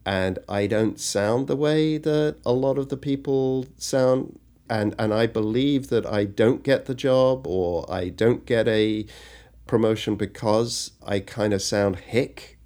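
A man hosting a podcast, narrating and chatting in a measured, conversational way. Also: mid-range voice, a little mellow. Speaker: podcast host. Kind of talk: scripted narration and chat.

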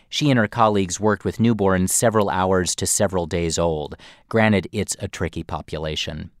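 Male science narrator, low voice, dry studio sound, clear explainer delivery. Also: a little mellow, no room sound. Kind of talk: scripted narration.

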